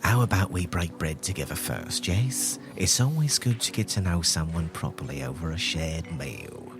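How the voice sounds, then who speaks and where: A man's voice: low-pitched, animated, normal speed, music in the background. A man playing a sly, genial thief with florid, mock-courtly speech in an improvised tabletop game. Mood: smooth, courtly. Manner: mock-courtly, effusive, evasive.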